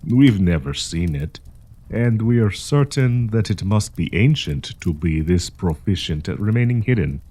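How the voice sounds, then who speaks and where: Casting: male actor as genteel old professor, secretly predatory; deep, swooping delivery, deliberate and playful, for intimate roleplay audio. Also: lively intonation, dark tone, husky. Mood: genial, businesslike. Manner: courtly, teasing, chuckling, deliberate. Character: kindly old male professor hiding a predatory, possessive menace.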